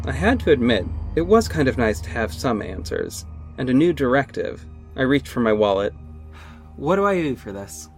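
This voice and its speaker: A man's voice: medium pitch, big pitch swings, moderate pace. A man playing a young amateur detective who narrates his story in the first person, casual and wry, a little hesitant. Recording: music bed underneath.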